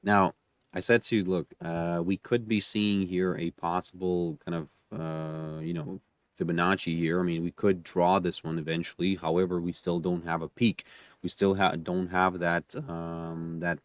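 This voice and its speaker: Male market analyst, deep voice thickened by a cold, off-the-cuff stream commentary with repeated phrases, dry sound. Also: little pitch movement, no room sound. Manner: halting, repeats words and phrases. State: voice deepened by a cold. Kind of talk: unscripted live technical market commentary.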